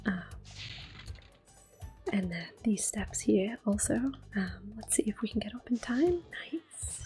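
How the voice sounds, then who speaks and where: A woman softly whispering gentle, unhurried ASMR commentary over a video game, with a breathy, hushed voice. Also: medium pitch. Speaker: gameplay host. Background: game music.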